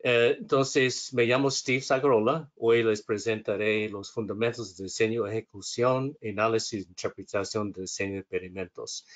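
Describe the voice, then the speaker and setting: Low-voiced man, hesitant. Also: steady tone, moderate pace, hardly any pauses. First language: English. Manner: hesitant, self-correcting, reading from notes. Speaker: instructor. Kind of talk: webinar lecture.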